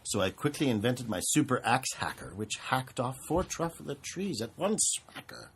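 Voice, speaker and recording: A man reading a rhyming storybook aloud with expressive narration. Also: medium pitch, moderate pace, gravelly, a little hiss or hum. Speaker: storybook reader.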